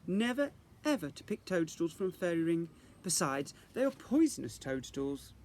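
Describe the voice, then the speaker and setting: A man's voice: fairly high pitch, sing-song, normal speed, a little mellow, slight background noise, pitched above the performer's own voice. A man voicing a fussy, strict fairy teacher in a children's storybook, with emphatic, theatrical instructions. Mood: scolding.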